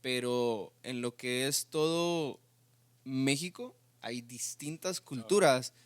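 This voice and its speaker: A man from Mexico co-hosting a youth faith podcast, explaining points in steady conversational speech. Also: mid-range voice, takes it slowly, smooth, no room sound. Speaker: podcast co-host. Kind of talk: casual faith discussion.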